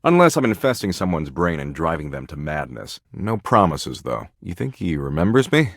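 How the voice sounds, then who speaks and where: A man's voice: extremely low pitch, sing-song, moderate pace, vocal fry, a bit bright, dry recording, studio quality, full character voice. A man voicing a video game embodiment of existential dread, a jaded, foul-mouthed slacker with a flippant, laid-back, slangy drawl. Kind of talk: video game character voice lines.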